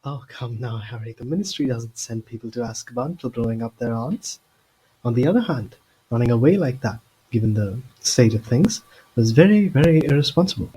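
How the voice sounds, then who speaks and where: An actor playing a fussy, evasive middle-aged government minister in an audio drama, with a pompous, formal manner. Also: male voice, medium pitch, expressive, fairly quick, mellow, husky, rough recording. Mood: placating.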